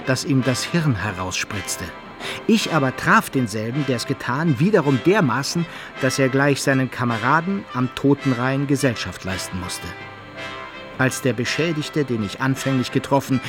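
A man's voice: mid-range voice, close to an everyday voice. Actor as adventurer Simplicius telling his tale over music. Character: Simplicius, male adventurer recounting his own rough exploits. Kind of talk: first-person radio play narration in archaic German.

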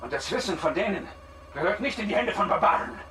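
Cornered spaceman, urgent and animated; bright, high voice, recorded at a distance, sci-fi radio play. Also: fast talker. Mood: defiant, agitated. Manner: urgent, agitated. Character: cornered male astronaut, pleading and scornfully defiant. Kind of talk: German science fiction radio drama acting. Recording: distant, faintly noisy.

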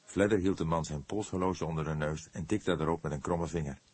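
Deep, husky male audiobook narrator. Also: brisk, a little mellow, no echo. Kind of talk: scripted narration of a crime novel.

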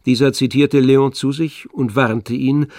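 An actor playing the all-knowing narrator of a literary tragedy in a radio drama, telling it in a measured, expressive way. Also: male voice, medium pitch, no room sound, studio quality, natural voice. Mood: matter-of-fact.

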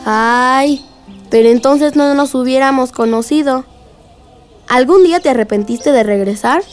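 A very high, girlish voice for a radio drama. She is a curious, cheeky niece who talks playfully and keeps probing, with music under her. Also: unhurried. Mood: inquisitive. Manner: inquisitive, playful.

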